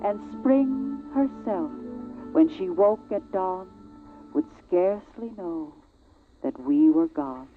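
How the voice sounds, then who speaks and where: An actress playing a gentle, elegiac poetry reciter with measured, lyrical delivery in an old radio drama. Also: female voice, lowish voice, expressive. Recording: tinny old radio recording, music behind.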